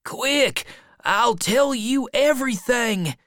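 Narrator doing a kid in an audiobook, voice way up high, earnest, urgent, kinda scared. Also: lively intonation, slow, a bit bright, dry recording, clean studio sound. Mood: urgent pleading. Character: earnest frightened boy, urgent and pleading.

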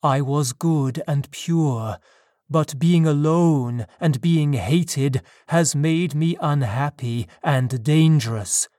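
Slow, wronged monster acted by a narrator. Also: medium pitch, warm tone, no echo, clean studio sound, lightly acted. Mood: pleading. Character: lonely, wronged male monster, pleading yet menacing. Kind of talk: dramatised audiobook dialogue.

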